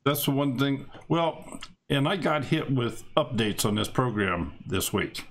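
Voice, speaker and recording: Creaky guy co-hosting a stream, voice moves up and down a lot, just chatting. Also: medium pitch, animated, measured pace. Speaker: stream co-host handling the sound. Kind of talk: casual chat.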